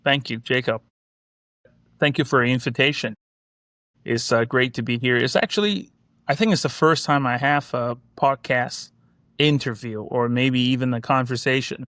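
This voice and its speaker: Smooth-voiced man. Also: mid-range voice, moderate pace, fairly bright tone, no echo, studio quality. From Hong Kong. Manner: reminiscing, many fillers and "you know, like". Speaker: guest, collectibles company executive. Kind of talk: casual interview storytelling.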